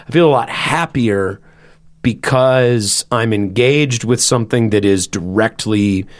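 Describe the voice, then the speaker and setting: A man in his thirties with a loud, carrying voice, co-hosting a podcast and chatting casually with lots of "you know" and "like". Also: lowish voice, measured pace. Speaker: podcast host and band member.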